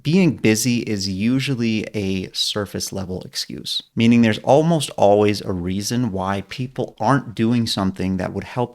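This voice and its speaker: A man who coaches people through learning plateaus, explaining his method step by step in an online lesson, now and then correcting himself mid-sentence. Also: fairly low pitch.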